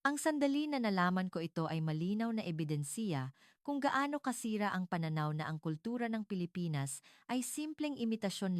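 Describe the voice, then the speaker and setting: A woman reading a scripted dramatic story as narrator, in a measured, formal manner. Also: lowish voice, smooth, no room sound, clean studio sound.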